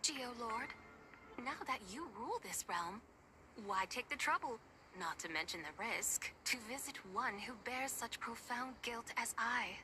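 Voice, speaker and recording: A woman's voice: a little high, animated, a little slow, over music. An actress voicing a proud, sly, mocking immortal spirit woman in a video game, with theatrical, archaic phrasing. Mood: lofty, wistful. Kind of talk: dramatic video game voice acting.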